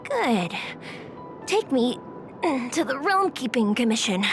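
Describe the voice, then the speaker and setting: A stoic female puppet judge made of machinery, rather high and slow. Her lines in this video game are clipped and literal, over faint music. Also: very animated, heavily acted. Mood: terse, commanding.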